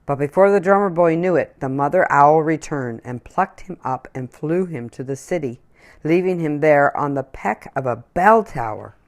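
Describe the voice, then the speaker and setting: A woman reading a children's picture book aloud in an expressive storytelling manner. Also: low voice, moderate pace.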